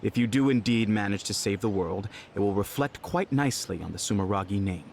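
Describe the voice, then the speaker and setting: An actor playing a cool, skeptical, proud young man in a video game, speaking in a measured, formal way. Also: on the low side, gravelly, a little mellow, a little hiss or hum. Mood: cool skepticism.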